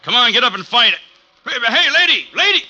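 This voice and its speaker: A pugnacious American passerby from classic radio. His voice is very sharp and animated, full of slangy challenge, through a muffled, faintly hissy recording. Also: extremely high pitch, fairly quick, exaggerated voice. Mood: belligerent. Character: pugnacious, slangy male street tough. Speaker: radio actor. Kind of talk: old-time radio character acting.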